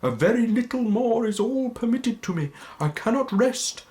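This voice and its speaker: A man voicing a tormented male ghost in chains, with a high, quavering, drawn-out wail. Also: animated, darkish tone. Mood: anguished.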